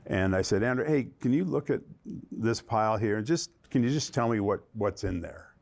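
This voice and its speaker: Creaky low-voiced man telling a legal story. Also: lively intonation, fairly quick, darkish tone. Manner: anecdotal, quotes himself and others. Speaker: lawyer. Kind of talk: documentary interview storytelling.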